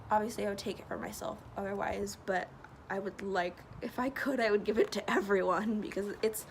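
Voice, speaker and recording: A young woman with a stuffy nose talks earnestly through a casual vlog, filling pauses with ums. Her voice carries a gravelly fry. She records outdoors, and clear traffic noise runs behind her. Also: mid-range voice, rough recording. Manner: chatty, earnest, many fillers. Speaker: vlogger. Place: outdoors by a loud road. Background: passing traffic.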